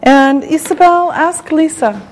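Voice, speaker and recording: A middle-aged woman from Canada teaching an English lesson, enunciating deliberately word by word and repeating herself, in a studio. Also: high voice, expressive. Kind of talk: slow classroom language lesson. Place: television studio classroom set.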